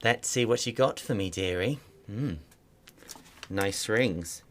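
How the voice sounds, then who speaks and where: Slow, low-voiced sneering street thief. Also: male voice. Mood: gloating. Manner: gloating, sneering, muttering to himself. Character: loathsome street thief robbing a dead woman. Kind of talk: radio play acting.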